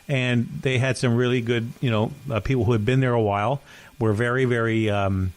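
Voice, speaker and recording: A man's voice: mid-range voice, steady tone, fairly quick. A middle-aged man co-hosting a podcast, chatting casually and telling rambling stories full of restarts, with an occasional cough. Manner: rambling, self-correcting storyteller. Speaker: podcast co-host. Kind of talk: casual chat.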